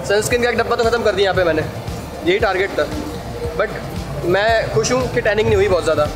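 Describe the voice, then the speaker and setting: Travel vlog host: high-pitched man in his thirties, chatty and joking, handheld cam outdoors. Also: fast, music in the background. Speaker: travel vlogger. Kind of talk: casual vlog narration. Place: outdoors on a sunny beach and street.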